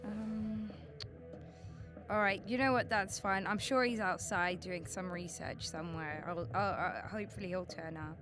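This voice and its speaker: An actress playing a bossy alien scientist and archaeologist who speaks English, brisk with others and grumbling to herself. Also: female voice, medium pitch, steady tone, over music, natural voice. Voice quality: breezy, bossy, mutters to herself.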